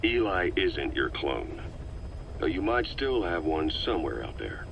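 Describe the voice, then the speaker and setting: A male actor voicing a calm, calculating veteran intelligence officer in a video game, speaking in a measured, reflective way on a taped conversation. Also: sits low, gravelly, full character voice. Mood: reassuring. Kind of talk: video game dialogue on audio tape. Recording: cassette recording with background noise.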